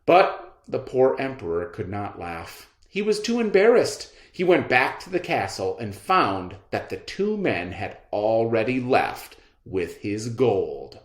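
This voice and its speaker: A man reading a storybook aloud in a deliberate, theatrical narrating style. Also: mid-range voice, big pitch swings. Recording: roomy echo.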